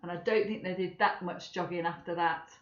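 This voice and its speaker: A woman's voice: on the low side, steady tone, a little fast, a little hiss or hum. A woman reading a children's story aloud expressively, with chatty asides to young listeners. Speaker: storybook reader for schoolchildren. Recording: echoey room, slightly dull sound.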